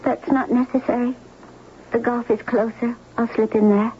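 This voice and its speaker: A woman playing a gentle, devoted, otherworldly young woman of the sea in a 1970s radio drama, tender and solemn. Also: a little high, little pitch movement, moderate pace, close to an everyday voice. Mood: resigned. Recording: muffled, noisy 1970s radio recording.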